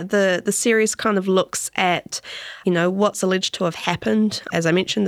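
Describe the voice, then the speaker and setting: A woman's voice: on the low side, creaky, no room sound. A woman journalist with a New Zealand accent, presenting a reported story for a podcast. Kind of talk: interview chat and scripted feature narration.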